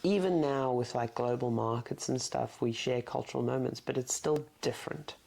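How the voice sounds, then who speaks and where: A woman's voice: a bass voice, moderate pace, creaky, warm tone. A woman co-hosting a podcast, chatting loosely about a book with lots of "like" and frequent laughter. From South Africa. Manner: rambling, filler-heavy, laughs often. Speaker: podcast co-host. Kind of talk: casual book chat.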